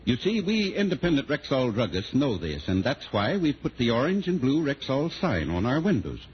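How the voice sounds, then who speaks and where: An actor playing a folksy, reassuring druggist in a 1940s radio advert. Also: male voice, medium pitch, wildly swooping pitch, normal speed. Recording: muffled 1940s radio, faint hiss.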